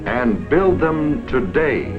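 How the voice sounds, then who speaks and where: A man's voice: mid-range voice, very animated, slow, narrow, tinny audio, over loud music. An American man giving scripted 1950s promotional film narration in an emphatic, urging, declamatory manner. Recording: vintage film sound, very echoey, reverberant.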